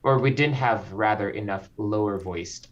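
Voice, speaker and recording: A man from the Philippines, a composer guest speaking slowly and reflectively, with frequent ums. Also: fairly low pitch, a little hiss or hum. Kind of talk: interview conversation. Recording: slightly dull lo-fi audio.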